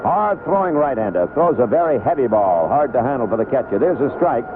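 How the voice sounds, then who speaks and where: A male baseball announcer gives live play-by-play at a medium pitch, in short conversational phrases with pauses between them. The sound is a thin, band-limited old AM radio broadcast, with plenty of noise around the voice. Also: lively intonation. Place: broadcast booth at a baseball stadium. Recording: noisy lo-fi 1970s AM radio broadcast.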